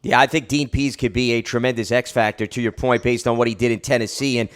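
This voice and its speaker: A man's voice: mid-range voice, level tone, fast talker. An American man hosting a sports interview, talking in a wordy, analytical, conversational way as he builds toward questions. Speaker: sports show host.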